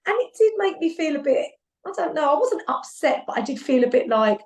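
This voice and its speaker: A woman in her forties with an English accent, chatting as a podcast guest, lively, laughing often and repeating herself. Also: high voice, a little fast. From England. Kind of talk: casual conversation. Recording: echoey room.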